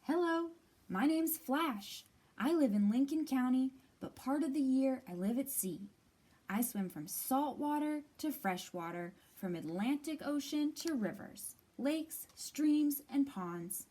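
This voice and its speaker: A woman reading as a cheerful, sociable little traveling fish in a picture book, in a friendly, expressive way. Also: high voice, unhurried, breathy edge, exaggerated voice. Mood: cheerful, easygoing.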